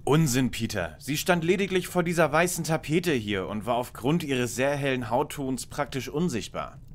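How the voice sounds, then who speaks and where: A pedantic young sleuth in a spoof detective radio play, voiced by a man. He has a medium-pitched voice with lively movement and lays out deductions in wordy, matter-of-fact fashion. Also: moderate pace, a little hiss or hum, close to an everyday voice. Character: know-it-all, deductive young male lead detective. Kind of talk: parody detective audio drama acting.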